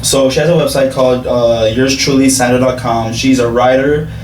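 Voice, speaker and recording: A man's voice: mid-range voice, fairly even intonation, moderate pace, noisy background. A man hosting a casual interview in a home craft room, chatty and speaking straight to his viewers. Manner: chatty, talks to viewers as guys. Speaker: interviewer and show host. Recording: roomy, distant camera sound.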